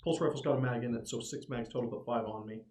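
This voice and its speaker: A man's voice: medium pitch, steady tone, fast, a little mellow, a little hiss or hum. A man talking casually and jokingly as a player in a tabletop roleplaying game.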